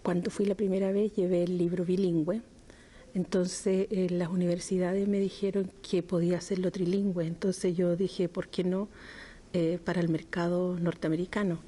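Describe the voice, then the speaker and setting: Slow, thoughtful woman, low warm voice. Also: flat delivery, slightly rough. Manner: slow, thoughtful, with frequent hesitations. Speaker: poet being interviewed. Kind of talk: reflective interview answers.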